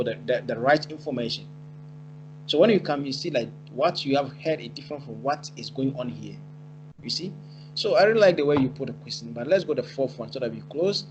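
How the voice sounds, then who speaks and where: Male interviewer, mid-pitched voice, encouraging, loose phrasing, music clearly playing underneath. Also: low-quality recording. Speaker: interviewer and channel host. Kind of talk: casual interview.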